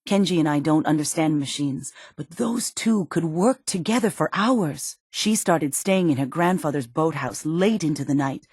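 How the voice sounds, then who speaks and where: An actress playing a worried, protective middle-aged mother in a post-apocalyptic video game, speaking earnestly and emotionally, with halting phrases. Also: low voice, animated, darkish tone, breathy edge, no echo, studio quality. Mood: subdued, worried. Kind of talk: dramatic video game voice acting.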